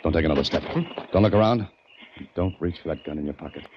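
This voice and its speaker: A man's voice: very deep voice, fast. An American actor playing a hunted innocent man on the run in a 1940s radio mystery, terse and desperate, with clipped hard-boiled delivery. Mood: commanding, wary. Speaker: lead actor and first-person narrator. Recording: muffled, noisy 1940s radio recording.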